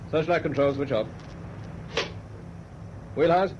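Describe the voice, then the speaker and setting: An actor playing a decisive naval commander on a ship's bridge in a radio drama, barking terse orders. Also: male voice, a little high, slow pace, a little mellow. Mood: commanding. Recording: muffled, noisy radio play with ship sounds.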